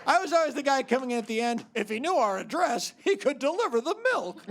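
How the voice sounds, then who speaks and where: A man's voice: very high-pitched, expressive, quick pace, background noise. A man hosting a live comedy talk show on a theater stage, rambling and giggly with mock bewilderment.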